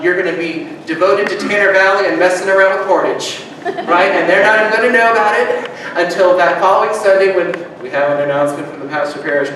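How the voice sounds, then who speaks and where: A man preaching a sermon as pastor, moving between loud rousing calls and soft hushed reflection, joking easily with his congregation. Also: a little high. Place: church sanctuary. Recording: distant mic, strong room echo, background noise.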